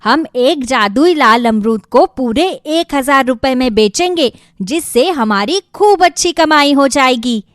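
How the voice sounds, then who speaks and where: High, lively scheming village wife acted by a woman. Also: unhurried, few pauses, no room sound, studio quality. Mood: scolding, scheming. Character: greedy, scheming village wife, bossy with her husband. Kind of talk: Hindi moral story cartoon dub.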